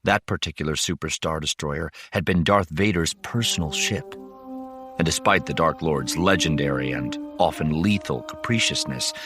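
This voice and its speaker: A man's voice: a bass voice, normal speed, music in the background. A man reading dramatic scripted fiction as an audiobook narrator.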